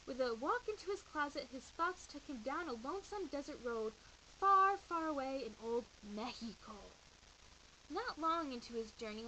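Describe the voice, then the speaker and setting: High-pitched woman reading a bedtime story. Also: low-quality recording. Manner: animated, dramatic emphasis. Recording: faint hiss behind voice.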